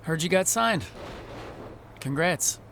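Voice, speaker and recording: Anxious young wrestler, fairly high-voiced. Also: male voice, lively intonation, takes it slowly, creaky, fairly bright tone, exaggerated voice. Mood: dejected. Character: anxious, paranoid, sulking young male wrestler. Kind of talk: video game cutscene dialogue. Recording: faint background noise.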